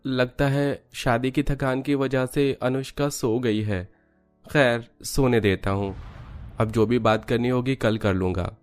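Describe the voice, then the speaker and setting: A man's voice: mid-range voice, fairly even intonation, unhurried, soft background music, close to an everyday voice. A man acts as a young newlywed husband in a cartoon household tale. He sounds considerate and easygoing, with a tired, mild delivery. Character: considerate, tired young bridegroom, teasing son. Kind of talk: Hindi cartoon family story voice acting.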